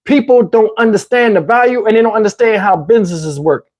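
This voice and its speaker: A man's voice: sits high, brisk, darkish tone. A man with a Southern US accent hosting a casual livestream, rambling conversationally, his voice tiring and his throat parched. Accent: Southern US English. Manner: rambling, conversational, asks "you know what I'm saying". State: voice getting tired, throat parched. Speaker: YouTube commentary host. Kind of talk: casual livestream talk to viewers.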